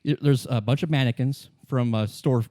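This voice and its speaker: A man narrating casually as game master of a tabletop roleplay, halting and repeating his words as he goes. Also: mid-range voice, warm tone, dry recording, clean studio sound.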